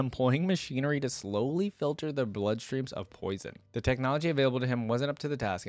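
A man's voice: fairly low pitch, no room sound. A young man hosting a reaction video, reading lore aloud and commenting casually into a close desk microphone. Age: young adult. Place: indoors at a desk.

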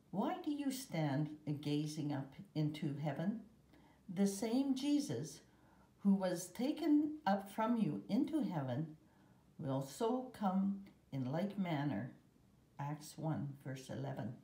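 A mellow-voiced woman reads a children's religious picture book aloud. She goes very slowly and deliberately, leaving pauses between phrases. The sound is roomy and echoing. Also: lowish voice. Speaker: storybook reader.

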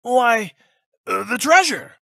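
A man's voice: very high-pitched, expressive, slow, gravelly, pushed and bright, dry recording, studio quality, exaggerated voice. An actor playing a chatty, teasing old male store attendant in a visual novel, with folksy colloquial speech. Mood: friendly, surprised.

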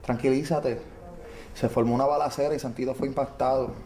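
An actor playing an anxious man who must break bad news, calming a distraught woman. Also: mid-range voice, reverberant, over a noisy crowd, lightly acted. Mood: soothing. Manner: nervous, soothing, hurried. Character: anxious man who brought his friend to the city.

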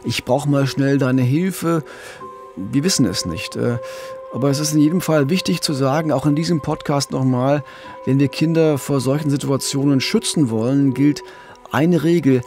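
A man's voice: mid-range voice, normal speed, music in the background. A man, talking earnestly and explaining things as co-host of a true-crime podcast.

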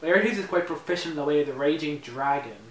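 A man's voice: a little high, animated, quick pace, close to an everyday voice. Osric, a young man playing a formal advisor. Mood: deferential. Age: young adult. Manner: formal, polite, briefing-like. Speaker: actor in an amateur mob drama. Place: living room in a house. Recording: roomy room audio from a far camera, faint hiss.

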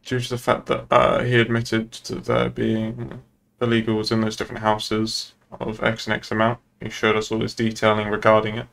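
A man's voice: fairly low pitch, completely flat delivery, normal speed, creaky, fairly bright tone. A man speaking formally and procedurally in improvised police roleplay dialogue. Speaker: roleplay player as police officer. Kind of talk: improvised in-character game dialogue.

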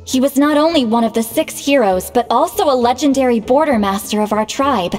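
A woman's voice: high-pitched, fairly quick, darkish tone, soft background music, lightly acted. Woman voicing a proud young shaman, reverberant. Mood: grave, explaining. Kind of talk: scripted video game dialogue.

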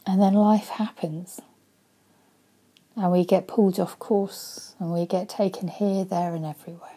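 A woman in her forties, a life coach, speaking earnestly and softly into a webcam in her living room, often dropping to a breathy near-whisper. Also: mid-range voice, fairly even intonation, unhurried. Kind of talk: motivational vlog talk.

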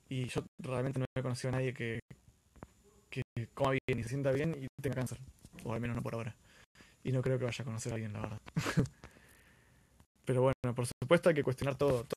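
A man's voice: mid-range voice, a little mellow, a little hiss or hum. A man with an Argentine Spanish accent, talking casually and earnestly as the host of a live stream, often repeating words for emphasis.

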